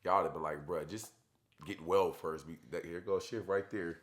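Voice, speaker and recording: A young man and father chatting casually in a family vlog, hoarse from being sick, talking in a laid-back, slangy way. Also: low voice, fairly even intonation, normal speed. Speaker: vlogger, father. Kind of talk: casual chat.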